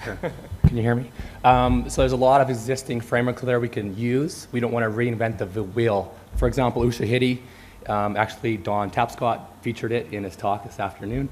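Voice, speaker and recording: A man's voice: medium pitch, fairly even intonation, fairly quick, darkish tone, slight background noise. A man acting as technical co-presenter, giving an informal explanation during a live presentation.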